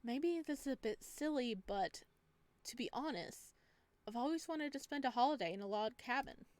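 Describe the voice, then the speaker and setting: A woman's voice: fairly high pitch, expressive, moderate pace, a little mellow, dry recording, natural voice. A woman voicing an enthusiastic, chatty traveler staying as a houseguest in a visual novel, earnest and bubbly. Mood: gushing. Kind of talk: visual novel protagonist reading.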